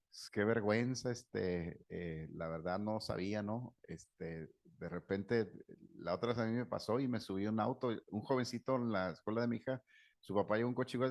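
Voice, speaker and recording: Casting: middle-aged therapist, low gravelly voice, easygoing lecture full of stories. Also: male voice, darkish tone. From Mexico. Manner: conversational, anecdotal, laughs often, many fillers. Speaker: psychotherapist giving a talk. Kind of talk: informal lecture to a group.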